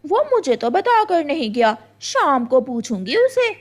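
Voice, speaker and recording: A woman's voice: high voice, very animated, unhurried. A woman voicing a jealous, spiteful female crow wife in a cartoon story, melodramatic and indignant. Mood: suspicious. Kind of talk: Hindi cartoon story acting.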